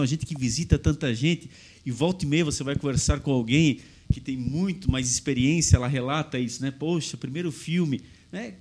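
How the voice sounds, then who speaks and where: A man's voice: mid-range voice, mellow. A man hosting a podcast interview, asking long, winding questions in a formal way. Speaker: podcast host.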